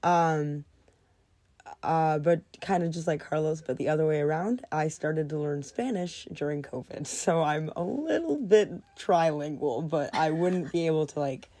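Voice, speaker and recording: A woman's voice: sits low, expressive, a little slow, dark tone. A young woman whose first language is French, chatting casually as a podcast guest, hesitant and full of fillers. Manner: hesitant, full of "like" and "um", laughs often. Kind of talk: casual conversation.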